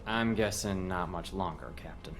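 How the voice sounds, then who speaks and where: A man's voice: sits low, normal speed, fairly bright tone. Man acting an earnest young naval ensign. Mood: earnest, alert. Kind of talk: audio comic dub acting.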